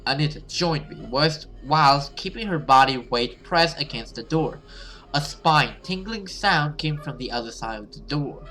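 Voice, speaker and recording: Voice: medium pitch, lively intonation, measured pace, sharp tone, faint music behind. A gaming video host who reads a horror story dramatically and adds casual commentary.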